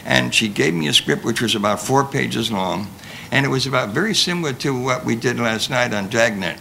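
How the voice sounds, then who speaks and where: A man's voice: mid-range voice, expressive, a little fast, vocal fry, bright, forceful tone, poor audio. An older man, a veteran performer, telling rambling anecdotes to an audience with repeated phrases. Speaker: veteran radio actor sharing memories. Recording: live panel with faint noise.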